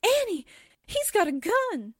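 An actress playing a jumpy, wisecracking American newspaperwoman sidekick in a 1940s radio drama, comically frightened with drawn-out exclamations. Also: very high voice, sing-song, no room sound, studio quality, full character voice. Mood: panicky.

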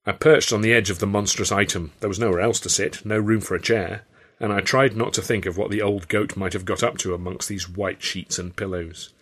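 English man, low husky voice, wry literary narration, scripted first-person audiobook. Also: steady tone, a little fast, a bit bright.

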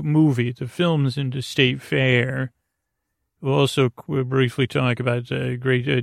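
Male bedtime podcast host: heavy vocal fry, gentle reassurance, rambling halting delivery, dry close sound. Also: medium pitch, dry recording. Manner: meandering, halting, over-explaining.

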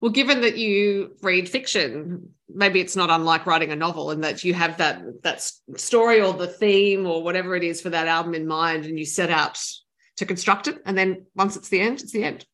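A woman interviewing a musician, asking measured, thoughtful questions. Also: lowish voice, a bit bright. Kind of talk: radio-style arts interview.

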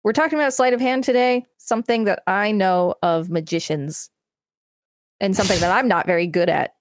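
A woman's voice: medium pitch, a little fast, no echo, clean studio sound. A woman hosting a podcast, reading encyclopedia text aloud and adding casual commentary. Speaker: podcast host.

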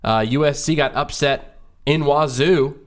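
A man's voice: a little high, unhurried. A man co-hosting a casual sports podcast, chatting in an easygoing, laid-back way. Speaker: podcast co-host.

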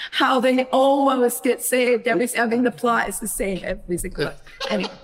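A middle-aged woman from Maine, a podcast guest telling her life story in a casual interview, animated, with quick laughs and frequent restarts. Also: a little high. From Maine, USA. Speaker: podcast guest, wine critic.

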